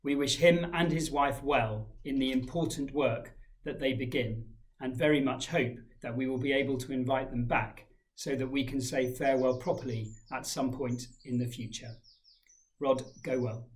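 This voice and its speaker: A man in his forties, a head teacher giving a formal school assembly address, measured and reading from a folder in a small room. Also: mid-range voice, steady tone, poor audio. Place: small indoor room with framed pictures.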